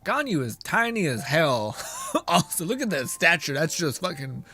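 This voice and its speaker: A young man streaming a video game, giving rambling, excitable commentary full of fillers, swearing and chat replies. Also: fairly high pitch, moderate pace, faint music behind. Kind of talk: casual gaming stream commentary.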